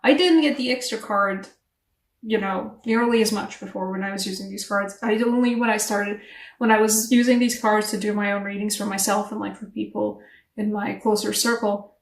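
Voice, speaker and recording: A woman giving a casual tarot reading to camera, chatty and animated, English not her first language, laughing at herself between rambling asides. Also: mid-range voice, moderate pace, far from the mic. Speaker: tarot reader. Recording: echoey room, voice a bit off-mic.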